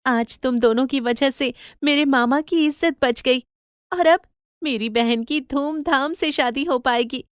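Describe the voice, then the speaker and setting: Woman voicing excitable, high-pitched young cartoon wife. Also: a little slow, smooth, no echo, clean studio sound. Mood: grateful. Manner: pleading, gushing, exclamatory. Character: Archana, kind, excitable young married village woman. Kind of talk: Hindi cartoon story acting.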